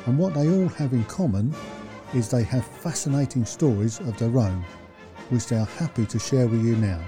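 A man hosting an interview podcast, introducing the show and putting questions to his guest. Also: medium pitch. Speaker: podcast host.

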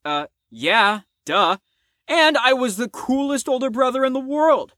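A man's voice: extremely high pitch, expressive, a little slow, sharp tone, dry recording, clean studio sound, heavily acted. A man playing a cocky, crude fifteen-year-old boy in an audio drama, with a smug, slangy drawl.

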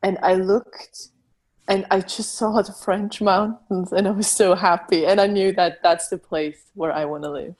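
A woman from Switzerland, a native Swiss German speaker with a Swiss German accent, talking as a guest in a relaxed interview and pausing now and then to find the right word. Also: mid-range voice. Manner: thoughtful, searches for words. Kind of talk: casual interview conversation. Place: remote from her home.

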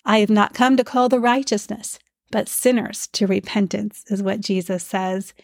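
A woman with a stuffed-up nose hosting a devotional hymn podcast, speaking in a gentle, teacherly way with frequent fillers. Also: mid-range voice, normal speed, vocal fry, no echo, clean studio sound. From Iowa, USA. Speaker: podcast host.

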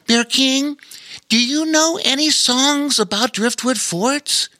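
A man voicing an eager, adventurous young boy in a storybook, friendly and excited. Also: very high-pitched, slow, no echo, studio quality, pitched above the performer's own voice, exaggerated voice.

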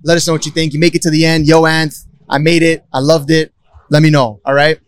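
A man hosting a podcast, loud and hyped, pushing viewers to like and subscribe. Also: fairly high pitch, level tone, a little fast, no room sound. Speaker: podcast host.

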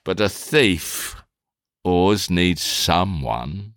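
A man playing a cool, probing interrogator in a theatrical drama, questioning in a formal, deliberate, insinuating way. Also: low voice, lively intonation, fairly bright tone, no room sound, clean studio sound, heavily acted.

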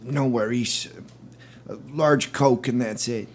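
A man's voice: mid-range voice, slow. A man playing an ordinary, hesitant customer in a comedy sketch. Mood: casual, hesitant. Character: hesitant ordinary male customer ordering fast food.

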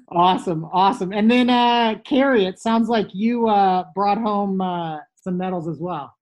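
Hesitant host with middle-pitched voice. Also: measured pace. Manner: hesitant, with many fillers. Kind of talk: casual studio chat.

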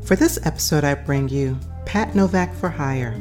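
A woman's voice: low voice, animated, moderate pace. A woman podcast host reading a scripted introduction to a vintage radio show. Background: music.

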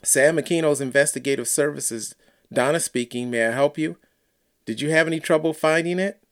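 A man voicing a calm, reassuring adult woman with a measured, advising manner in an audiobook. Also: a little high, clear, resonant tone, voice pushed up, close to an everyday voice. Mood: businesslike.

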